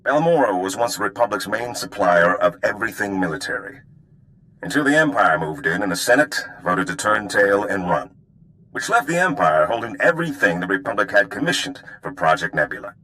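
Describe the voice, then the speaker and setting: An actor playing a sly, easygoing underworld operative giving briefings in a video game. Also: male voice, on the low side, big pitch swings, brisk, pushed and bright, poor audio. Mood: briefing, wry.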